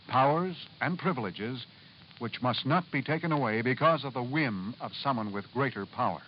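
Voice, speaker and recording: A man giving a scripted public-service narration in a formal, measured old radio announcer's manner. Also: medium pitch. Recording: dull, faintly noisy vintage radio broadcast.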